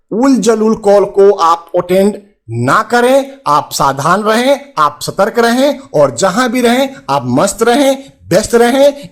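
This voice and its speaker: High-pitched Indian man presenting advice. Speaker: host of a finance and insurance advice channel. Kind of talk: presenter addressing viewers with a consumer alert.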